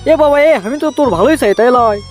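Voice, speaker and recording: A man's voice: very high voice, very fast, faint music behind. A man voicing a village father who scolds and coaxes his grown son, in comic cartoon dialogue with a Bogura accent from northern Bangladesh. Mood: soothing. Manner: bossy, scolding, then coaxing.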